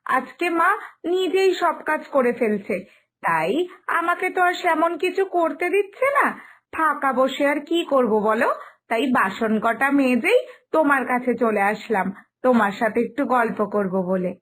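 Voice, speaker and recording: Woman acting high, animated young daughter-in-law. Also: moderate pace. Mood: polite. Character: polite, curious young daughter-in-law, quietly shocked by filth. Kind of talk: animated Bengali family story dialogue.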